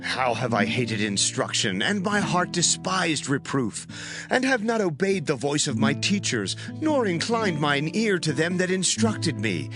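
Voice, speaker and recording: A remorseful man laments his wasted life as an actor performs him in an audio scripture drama. His voice sits fairly high and swings expressively, with music clearly playing underneath. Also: very animated, a little slow. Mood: anguished regret.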